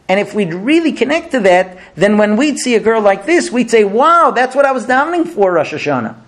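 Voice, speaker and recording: Animated rabbi giving a sermon. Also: male voice, high-pitched. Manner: storytelling, rhetorical questions, repeats words. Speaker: rabbi teacher.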